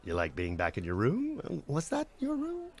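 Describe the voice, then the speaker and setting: A man's voice: fairly high pitch, huge pitch swings, normal speed, full character voice. A man playing a cheery, eager tiefling bard in a comedy radio play, awkwardly chatty and hesitant.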